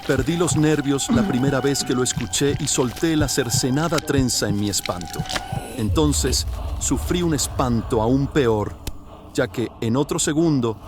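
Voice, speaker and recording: A man voicing an anguished, horrified man in a horror audiobook, confessing a killing in a hoarse, intense, dramatic way. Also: medium pitch, background noise, close to an everyday voice.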